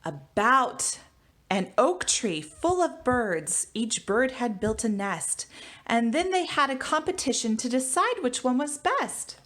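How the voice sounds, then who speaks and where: A woman teacher giving a storybook read-aloud for children, with expressive delivery. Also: a little high, takes it slowly. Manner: expressive, animated reading. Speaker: elementary school teacher.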